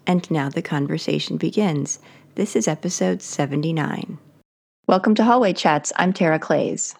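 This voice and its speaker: A woman's voice: sits low, moderate pace, vocal fry, darkish tone, husky, soft background music. A woman co-hosting a podcast, asking guests friendly questions and laughing along in casual chat. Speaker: podcast co-host.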